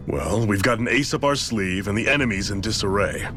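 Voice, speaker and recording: An actor playing a confident, steady male resistance leader in a video game. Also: on the low side, brisk, a little hiss or hum. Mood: determined.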